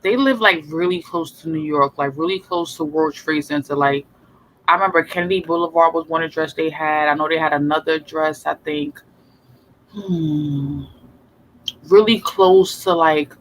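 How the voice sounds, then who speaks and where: A man's voice: a little high, normal speed, a bit bright, low-quality recording. A man in a casual chat, recalling things hesitantly with many fillers.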